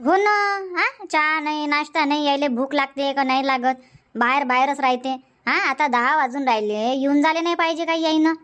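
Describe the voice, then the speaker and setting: A woman's voice: high voice, fairly bright tone. A woman voicing a nagging village housewife. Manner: scolding, complaining, rapid village banter. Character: nagging village housewife Shanta, chatty and sharp-tongued. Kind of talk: dubbed cartoon comedy.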